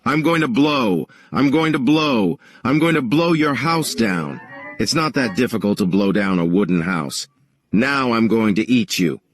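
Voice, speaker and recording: A man's voice: medium pitch, animated, unhurried, no echo, faint music behind, natural voice. A man voicing a boastful, menacing, hungry big bad wolf in a children's story, taunting with repeated threats.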